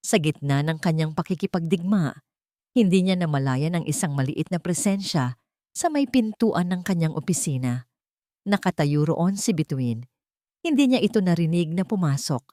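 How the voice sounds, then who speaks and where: Female Filipino storyteller, lower register, scripted dramatic narration, close dry recording. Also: lively intonation, normal speed, warm tone, no echo.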